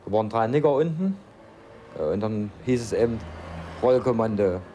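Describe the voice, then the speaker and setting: A man's voice: fairly low pitch, lively intonation, normal speed, mellow. A man giving a blunt, colloquial, matter-of-fact personal account. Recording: muffled documentary tape with background noise.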